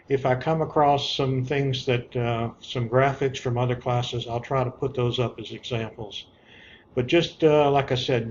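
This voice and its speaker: Male instructor on dull, noisy lo-fi audio. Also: medium pitch. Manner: plainspoken, instructive, repeats key points. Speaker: online course instructor. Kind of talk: informal screen-share walkthrough of an assignment. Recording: dull lo-fi audio with background noise.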